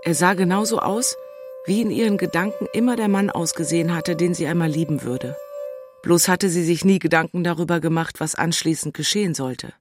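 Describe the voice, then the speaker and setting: Breathy, dreamy lovestruck woman, played by an actress. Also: fairly low pitch, a little fast, dry recording, faint music behind, close to an everyday voice. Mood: dreamy, bewildered. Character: lovestruck, dreamy, high-strung single city woman. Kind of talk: radio drama narration, intimate.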